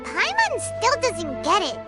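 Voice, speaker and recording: A woman's voice: very high-pitched, huge pitch swings, slow, full character voice. A woman voicing a tiny, childlike, curious fairy companion in an anime-style video game. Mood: curious, puzzled. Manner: speaks of herself in third person. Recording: loud music behind the voice. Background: game music.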